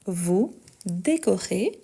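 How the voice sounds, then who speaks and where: A woman teaching a live online French lesson, speaking slowly and carefully, repeating each sentence and spelling out the verb endings. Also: medium pitch, lively intonation, vocal fry, dark tone, husky.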